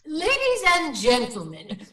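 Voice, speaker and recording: An actress playing an earnest, solemn paranormal investigator in a radio drama, speaking formally and with portentous foreboding. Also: female voice, high voice, wildly swooping pitch, far from the mic, very noisy, exaggerated voice. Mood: earnest, dignified. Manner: formal, grave, portentous, deliberate wording. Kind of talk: melodramatic radio drama acting. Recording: roomy echo, off the microphone.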